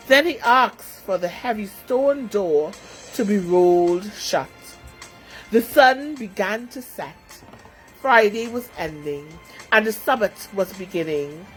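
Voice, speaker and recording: A woman storyteller reading a scripted children's story with expressive narration. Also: mid-range voice, poor audio.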